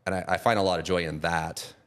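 Guest spot: very low-pitched man in his 40s, loose rambling talk that trails off. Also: fairly even intonation, quick pace, clear, resonant tone. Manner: rambling, with many restarts and trailing off quietly. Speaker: guest, custom painter and product seller. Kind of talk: casual podcast conversation.

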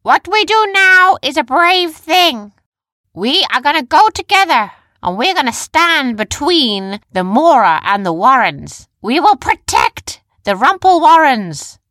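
A woman's voice: high voice, very animated, measured pace, a bit bright, dry recording, studio quality, voice pushed up, exaggerated voice. A woman voicing a brave female leader of small furry creatures in a children's story, with an impassioned, declamatory manner. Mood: rousing.

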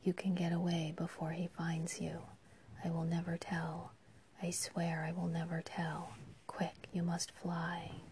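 A woman's voice: lowish voice, monotone, measured pace, a little mellow, a little hiss or hum. A woman voicing a devoted young peasant woman, speaking in urgent, pleading whispers.

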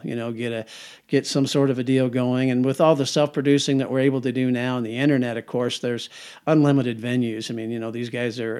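A man's voice: mid-range voice, a little mellow. An older man, a songwriter, answering interview questions in a rambling, repetitive way and chuckling often. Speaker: singer-songwriter guest.

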